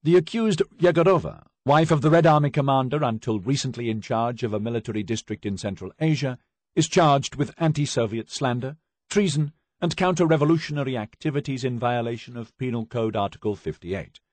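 Stern male Soviet prosecutor, loud and formal, raised high pitch, read by a man. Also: a little fast, no echo, close to an everyday voice. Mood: accusing. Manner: loud formal accusing speech.

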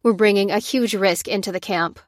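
A woman's voice: mid-range voice, brisk, no echo, close to an everyday voice. Woman narrator as pragmatic female crew member, wary, strained, protesting, matter-of-fact, audiobook story, dry recording.

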